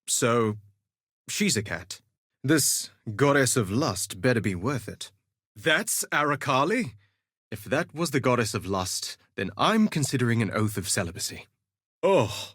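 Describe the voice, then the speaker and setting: An actor playing a vain, flirtatious gladiator duelist in a video game, with a theatrical, suave and sardonic delivery. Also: male voice, medium pitch, fairly bright tone, breathy edge, no room sound, studio quality, full character voice. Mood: wry, amused. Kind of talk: scripted game voice lines, theatrical quips.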